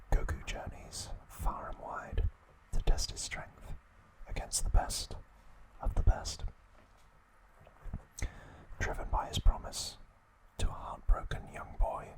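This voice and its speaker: A man hosting ASMR, whispering in hushed, drawn-out short phrases with frequent pauses. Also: rough recording. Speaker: host of an ASMR channel. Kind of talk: ASMR, whispered trivia talk and reading aloud.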